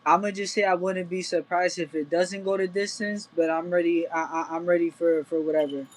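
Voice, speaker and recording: A young man, a boxer answering interview questions casually. His voice sits a little high and stays very even in pitch. He is plain-spoken and colloquial. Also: brisk, rough recording. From Puerto Rico until age eight, then the United States. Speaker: professional boxer, interview guest.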